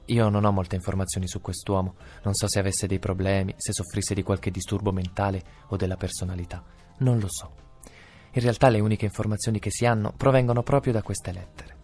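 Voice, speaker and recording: Guy w a lowish voice narrating a scripted radio story, music behind him. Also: darkish tone.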